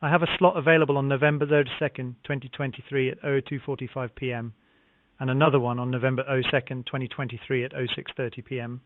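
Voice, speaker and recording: A man's voice: mid-range voice, flat delivery, fast, dry recording. A man working as a real estate phone agent, speaking politely in a scripted sales-call style with formulaic acknowledgements. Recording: narrow, tinny phone line.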